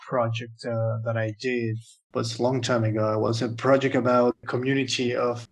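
A man from Venezuela, a guest artist in a podcast interview, who talks in a halting way, repeating words and searching for phrases. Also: medium pitch, little pitch movement, a little hiss or hum.

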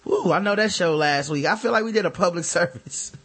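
A male podcast host with a fairly high, husky voice banters casually. He reacts in exclamations, joking and cursing freely. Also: fairly quick, a bit bright.

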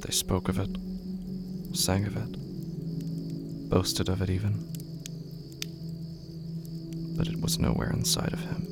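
Man, deep creaky voice, solemn horror narration, brooding and poetic, music underneath. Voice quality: gravelly, creaky low voice. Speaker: narrator of a horror audio drama. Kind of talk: scripted literary narration, solemn and slow.

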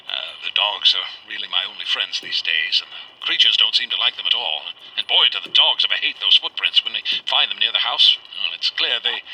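Earnest rural man, bright, animated voice. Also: fairly low pitch, breathy edge, full character voice. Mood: rambling, uneasy. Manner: folksy, rambling, hesitant. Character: rural man recording a letter, earnest, uneasy amateur investigator. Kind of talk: radio drama acting, spoken letter with hesitations. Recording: faintly noisy, old wax cylinder recording.

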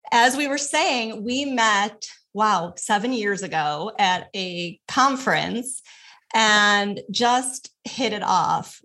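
A woman's voice: medium pitch, fairly bright tone. A woman hosting a podcast, who talks in an upbeat, friendly, chatty way with casual fillers. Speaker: podcast host. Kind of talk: casual interview chat.

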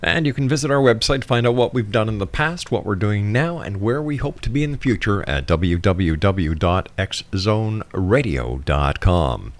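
A man's voice: on the low side, animated, normal speed, hardly any pauses. A man hosting a radio talk show and interviewing his guest.

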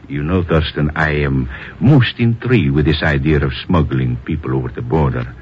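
An actor playing a suave, amused schemer with a Spanish accent, speaking in a courtly, sly drawl in 1950s radio drama style. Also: male voice, rumbling low, unhurried. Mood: insinuating, pleased. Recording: muffled, hissy old radio recording.

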